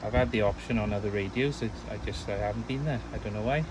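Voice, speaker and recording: A man's voice: fairly low pitch, fast talker, noisy background. A man chatting casually and at rambling length as an amateur radio operator. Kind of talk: casual ham radio chat.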